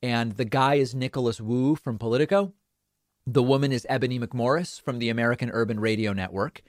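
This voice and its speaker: A man hosting a political commentary show, speaking in a measured, conversational way. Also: mid-range voice, no room sound, studio quality.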